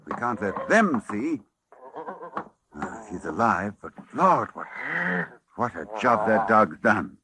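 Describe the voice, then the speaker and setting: An actor playing a learned doctor recounting horrors in a halting, dramatic way, in the style of 1940s radio drama. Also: male voice, on the low side, very animated, pushed and bright, full character voice. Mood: urgent, alarmed. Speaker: actor and narrator. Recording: restored 1940s radio recording with music.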